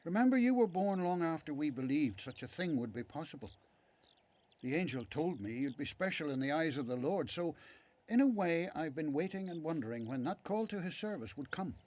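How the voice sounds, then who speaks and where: Elderly devout father for radio Bible drama played by a man: slightly high voice, lively inflection, frank and supportive. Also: fairly quick, lightly acted. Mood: gentle, frank. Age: older.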